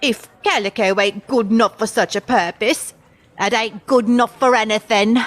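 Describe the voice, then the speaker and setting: A woman's voice: a little high, steady tone, moderate pace, natural voice. A woman playing a callous, gossipy old working-class charwoman in a radio play, brash and unsentimental. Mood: callous, gloating. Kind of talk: theatrical radio play acting.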